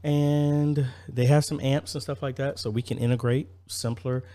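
A man's voice: mid-range voice, flat delivery, moderate pace, dark tone. A man in his thirties talking informally and practically, thinking aloud as he explains an installation in a casual vlog style. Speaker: church audio-video installer and vlogger.

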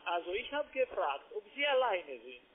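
A man with a foreign accent speaking non-native German in halting phrases, insistently working a sales call as a call-center trader. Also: sits high, sing-song, husky. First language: not German. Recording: tinny narrowband phone line.

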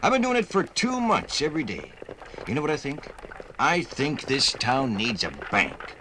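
An actor playing an earnest, plain-spoken townsman of the 1800s in a 1950s radio drama, speaking deliberately. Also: medium pitch, sing-song, noisy background, close to an everyday voice. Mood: resolved. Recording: 1950s vinyl radio recording, faint music.

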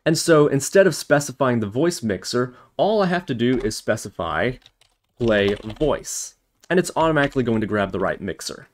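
Man with lively pitch narrating a coding tutorial. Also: moderate pace. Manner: spells out steps as he types. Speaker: programming tutorial host. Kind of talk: casual screen-recorded coding tutorial narration.

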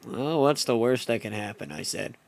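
A man's voice: mid-range voice, normal speed, vocal fry. A young man narrating a home-made comedy film in the first person, with playful, mock-dramatic storybook delivery.

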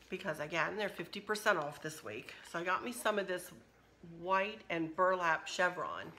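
A middle-aged woman talks through her craft haul in a chatty, rambling way, her voice slightly hoarse and a bit lower than most women's. She is close to a camera mic in a home kitchen, with a faint hum of noise behind her. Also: a bit bright. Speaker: craft vlogger. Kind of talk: casual chat and shopping haul.

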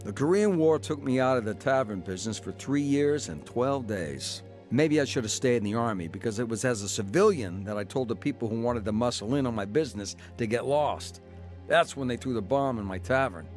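A man's voice: medium pitch, lively intonation, a little fast, darkish tone, soft background music, natural voice. An actor playing an uneasy American tavern owner who tells his own story in a radio drama. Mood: reflective narrating. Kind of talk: radio drama acting and first-person narration.

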